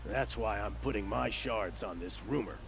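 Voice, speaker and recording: Creaky, shifty game-world opportunist. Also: male voice, on the low side, normal speed, faint music behind. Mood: grumbling, scheming. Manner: hesitant, stammering, throat-clearing, sly salesman patter. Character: shifty, blustering male opportunist merchant-gambler, bargaining and flattering. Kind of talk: video game dialogue acting.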